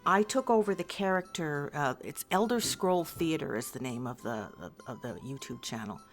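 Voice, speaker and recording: A woman with a low-pitched voice talks casually in an interview. She rambles and hesitates, thinking aloud as she goes. Faint music plays underneath her voice. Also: lively intonation, normal speed. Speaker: voice actor being interviewed.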